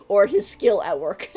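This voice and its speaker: A woman's voice: medium pitch, warm tone, husky. A woman livestreaming a game with casual, playful, giggly commentary.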